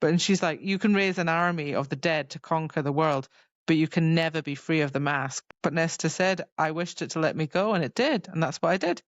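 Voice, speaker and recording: A woman's voice: sits low, fairly quick, no echo. Woman retelling casually, dry muffled sound. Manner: informal, exclamatory retelling with quoted dialogue. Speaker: podcast co-host. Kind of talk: casual book discussion chat. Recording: dry, slightly dull lo-fi sound.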